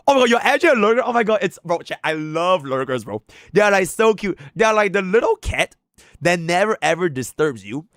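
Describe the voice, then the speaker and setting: A man from Singapore, live-streaming casual chat with his viewers, excitable and slangy, swinging between hyped outbursts and low mumbles. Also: clean tone, no echo, studio quality.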